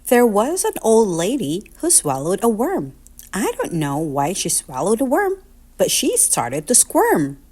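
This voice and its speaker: A woman reading a children's picture book aloud in an expressive, deliberate, sing-song storytelling manner. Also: mid-range voice.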